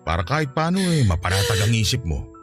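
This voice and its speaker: Animated, reassuring Filipino husband. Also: male voice, lowish voice, fast talker, soft background music. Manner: soothing, reasoning. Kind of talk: Filipino radio drama acting.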